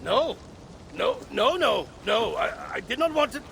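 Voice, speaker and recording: An actor playing a troubled warrior son of a Norse god in a video game, with a gravelly, halting delivery. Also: male voice, very high-pitched, very animated, sharp tone, a little hiss or hum, exaggerated voice. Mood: distraught. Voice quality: very gravelly, creaky. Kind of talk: dramatic video game acting.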